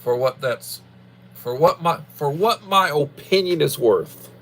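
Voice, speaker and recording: A man in his early sixties chatting casually on a livestream, with a halting, folksy manner and a habit of repeating himself. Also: on the high side, lively intonation. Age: early 60s. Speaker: livestream host, school worker. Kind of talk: casual rambling livestream chat.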